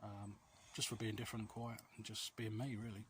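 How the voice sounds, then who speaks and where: A man chatting casually and confessionally while out walking, hesitant, rambling and self-deprecating, recorded outdoors on a quiet street. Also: lowish voice, little pitch movement, fairly quick. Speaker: vlog host. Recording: noisy outdoor recording with clicks.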